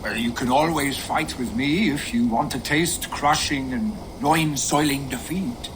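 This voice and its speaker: An actor playing a wry, boisterous Viking warrior in a game, teasing and jocular. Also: male voice, medium pitch, unhurried, noisy background, lightly acted.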